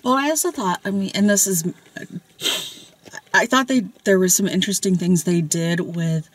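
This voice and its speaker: A woman's voice: mid-range voice, moderate pace, dry recording. A woman discussing a film in a parked car at night, speaking thoughtfully and often adding short agreeing replies. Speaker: co-host.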